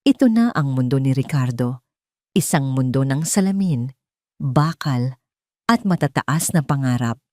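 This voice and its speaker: A woman's voice: a bass voice, expressive, a little slow, pauses often, warm tone, no echo, studio quality. A woman with a Filipino accent reading a scripted, dramatic story as its narrator.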